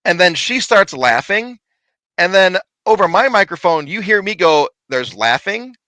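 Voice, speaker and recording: Guy with a big voice telling a spooky story, high and bright, bouncy pitch, dry sound. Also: a little slow, no echo. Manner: animated, laughing, big voice. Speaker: paranormal investigator guest. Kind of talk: casual storytelling chat.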